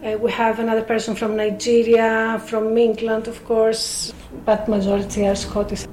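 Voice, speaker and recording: A woman's voice: a little high, completely flat delivery, a little mellow, soft background music. Greek-accented woman, small echoey room. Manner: careful, measured explanations with frequent fillers. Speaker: speakers club member. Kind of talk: radio interview guest.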